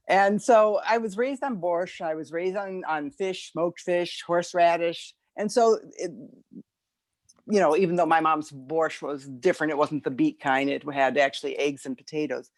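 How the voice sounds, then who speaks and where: An older woman shares personal stories in a fairly low voice. She goes haltingly, restarting phrases as she finds her words. The sound is dry, with no room on it. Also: no room sound. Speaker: speaker at a community gathering. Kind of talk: informal personal storytelling.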